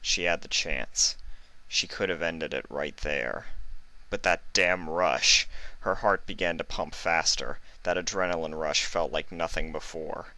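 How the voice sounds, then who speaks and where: Very deep-voiced male story narrator. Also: unhurried, pushed and bright, dry recording, low-quality recording. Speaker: fan fiction narrator. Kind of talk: dramatic scripted story narration.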